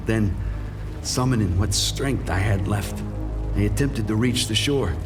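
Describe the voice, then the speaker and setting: A male actor as a wounded crime-drama dealer, grim, defiant and desperate. He is a man telling his own dark story in dramatic noir style, with prominent music behind his low-set voice. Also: a little slow, recorded from a distance, lightly acted. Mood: grim, tense. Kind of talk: first-person noir crime audio drama narration. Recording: studio voice under prominent music.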